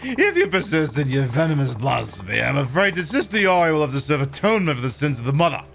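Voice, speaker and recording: A man's voice: a little high, very animated, fast, vocal fry, fairly bright tone, exaggerated voice. A man voicing a sanctimonious cult member in a video game, speaking formally and pompously with cold politeness.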